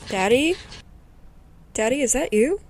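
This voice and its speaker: A woman's voice: high voice, lively intonation, a little slow, noisy background. A woman playing a weary, defiant daughter in an audio drama, sardonic and pleading. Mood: frightened. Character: weary, defiant daughter travelling with her father.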